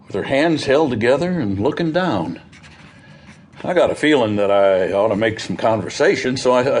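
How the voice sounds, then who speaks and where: An actor playing an earnest, hesitant farmer giving witness in an audio drama, with an American accent and halting, folksy storytelling. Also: male voice, medium pitch, natural voice. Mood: hesitant, uneasy. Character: plain-spoken farmer witness, earnest and hesitant, recounting a grim discovery. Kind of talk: audio theatre acting.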